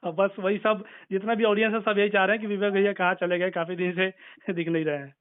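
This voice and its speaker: High-voiced, smooth man on a phone line. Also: level tone, fast talker. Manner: deferential, agreeable. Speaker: interviewer for a Bhojpuri entertainment channel. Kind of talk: casual phone interview. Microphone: telephone. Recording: tinny phone call recording.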